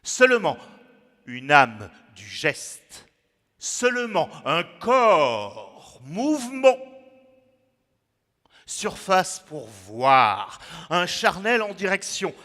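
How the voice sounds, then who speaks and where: A man reciting his own poem theatrically, with dramatic pauses and sudden swings between hushed and loud delivery. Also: high voice. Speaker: poet performing his own text. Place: large echoing museum gallery, indoors. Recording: reverberant hall echo.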